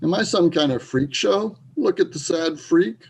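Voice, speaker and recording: A man's voice: on the high side, animated, moderate pace, vocal fry, slightly rough, heavily acted. A man playing a drunk, belligerent bodybuilder bully in a readers theater piece, taunting and confrontational. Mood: bitter, taunting. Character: drunk, belligerent, self-loathing bodybuilder bully in a small town. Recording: dull, muffled sound.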